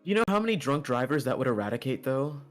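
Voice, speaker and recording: A man's voice: medium pitch, sing-song, fast. A man chatting in casual group banter as a co-host on a live stream. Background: background music.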